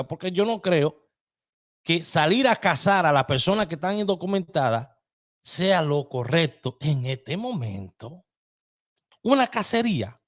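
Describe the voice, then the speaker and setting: Un hombre dominicano de mediana edad que presenta en vivo un programa de opinión, hablando con pasión y en tono coloquial, directo a su público. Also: male voice, fairly high pitch. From Dominican Republic. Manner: impassioned, colloquial, addresses listeners directly. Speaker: host. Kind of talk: live opinion commentary.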